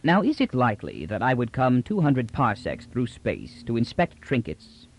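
A man's voice: mid-range voice, wildly swooping pitch, moderate pace. A man voicing a haughty court official who speaks lazily and coldly, with clipped disdain. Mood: disdainful. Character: cold, haughty male aristocrat secretary.

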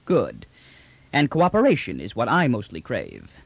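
Audiobook role: confident military commander of an empire, read by a man, formal, measured menace, expressive pitch. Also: no echo, lo-fi sound. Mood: dry, satisfied. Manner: formal, precise, smoothly authoritative. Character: commanding, confident male imperial general, coolly menacing. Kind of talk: audiobook character dialogue.

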